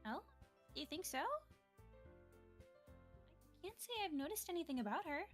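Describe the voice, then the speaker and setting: A woman acts out a caring teenage girl club president from a visual novel. Her voice is high and lilting, gentle and a little coy, with faint music underneath. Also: animated, moderate pace. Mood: curious, composed. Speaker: guest player voicing a game character. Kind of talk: amateur visual novel voice acting.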